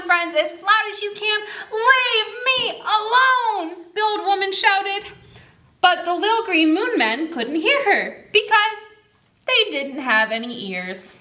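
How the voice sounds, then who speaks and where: A woman's voice: extremely high pitch, animated, a little slow, bright, forceful tone. A woman hosting a children's story time, reading aloud and talking directly to young listeners with questions and prompts. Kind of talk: animated read-aloud and chat to young children.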